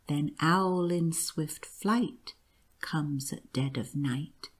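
An odd old man from a storybook, acted out by a woman, droll and cranky. The voice sits low and moves slowly, with a measured, peculiar delivery. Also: breathy edge, heavily acted. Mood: droning, eerie. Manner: grating, monotonous chanting when reciting.

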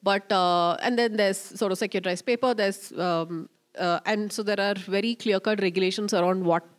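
A woman's voice: mid-range voice, fairly even intonation, normal speed. A woman in her thirties moderating a conference panel, explaining at length with many fillers, into a handheld mic. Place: conference stage, indoors. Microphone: handheld microphone.

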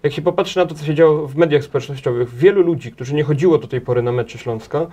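A man's voice: fairly high pitch, quick pace, mellow, lo-fi sound. A man in his thirties, a guest in a small TV studio, answering questions at length. He stumbles often, repeating words and restarting his sentences as he thinks aloud. His voice is recorded on a table mic. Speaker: interview guest, head of a transport association.

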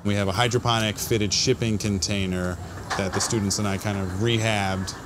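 A man's voice: fairly low pitch, fairly even intonation, moderate pace, noisy background. A man in his thirties, a school farm manager, explaining the farm in an easygoing interview outdoors.

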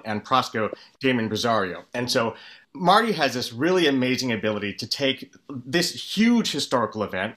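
A man's voice: mid-range voice, fairly bright tone. A man talking reflectively as a director on a video-call panel, indoors.

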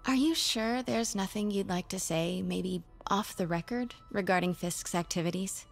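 Young reporter, curious, probing, friendly, faint background music. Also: female voice, medium pitch, moderate pace, vocal fry. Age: young adult. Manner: friendly but persistently probing. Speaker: undercover reporter.

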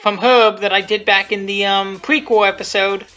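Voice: mid-range voice, fairly even intonation, moderate pace. A casual commentator talking off the cuff about their own video, rambling, often repeating and rephrasing, with some mild swearing. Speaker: creator giving commentary on own fan video. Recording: dull lo-fi audio with music behind. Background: music and quiet cartoon soundtrack.

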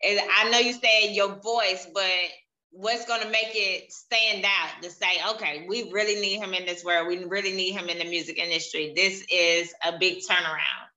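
A woman's voice: mid-range voice, fairly even intonation, moderate pace, sharp tone, poor audio. A young woman hosting a casual interview over a video call, asking questions and affirming her guest. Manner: encouraging, asks questions, affirms often. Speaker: interview host. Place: indoors in a room.

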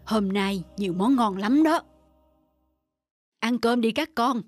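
A woman voicing a gentle, wise elderly mother in a cartoon, speaking softly and steadily. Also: a little high, lively intonation, slow. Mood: kindly. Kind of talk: cartoon voice acting. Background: music.

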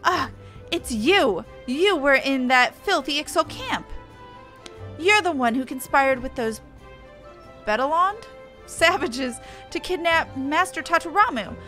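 A woman's voice: sits high, expressive, slow pace, sharp tone, faint music behind, full character voice. A woman voicing a haughty, officious company clerk with a formal, scolding manner. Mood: indignant.

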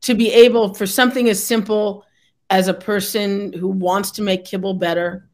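Woman host, middle-aged, friendly, opinionated, video call, home room, mid pitch. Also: fairly even intonation. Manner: friendly, quick affirmations and opinions. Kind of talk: casual interview chat. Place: home room with brick wall.

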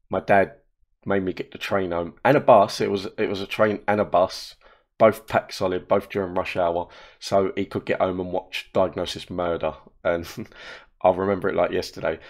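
A hoarse man from England, in his thirties, chatting in a rambling, storytelling way as a show's creator and host, recorded on webcam indoors. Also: deep voice. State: hoarse, croaky voice. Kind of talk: casual commentary. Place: indoor room. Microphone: wearing headphones, webcam.

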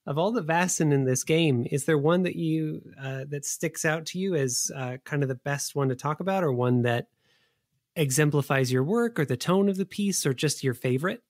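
A man's voice: fairly high pitch, moderate pace, no echo, clean studio sound. A man hosting a podcast, asking questions in a conversational interview style.

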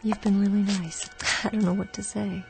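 A woman's voice: mid-range voice, flat delivery, normal speed, vocal fry, close to an everyday voice. An actress playing a distraught, pleading yet defiant young woman traveler in a radio drama. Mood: wary, uneasy. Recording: music bed under radio drama.

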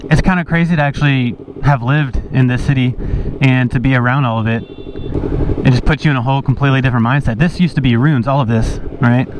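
A man's voice: medium pitch, quick pace. A young man riding a motorbike through the city, telling a rambling story as he rides. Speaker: motovlogger. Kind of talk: casual riding commentary. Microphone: helmet-mounted action camera. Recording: muffled, with loud wind and traffic noise. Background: wind and traffic.

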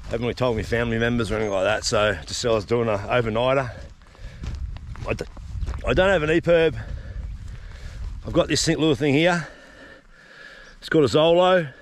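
A man's voice: mid-range voice, a little fast, pauses often, slight background noise. A man in his fifties with an Australian accent, chatting casually while hiking on open plains, talking into an action camera. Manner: laid-back, rambling, says "eh" and "yeah". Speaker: solo outback camping vlogger. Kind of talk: casual outdoor vlog chat. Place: outdoors on open grassy high plains. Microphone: action camera worn or handheld while walking.

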